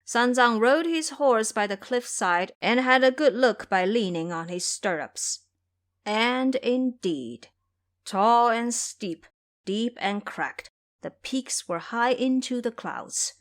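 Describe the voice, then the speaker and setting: A woman's voice: on the high side, expressive, takes it slowly. A woman narrating a scripted fantasy tale as a dramatic storyteller.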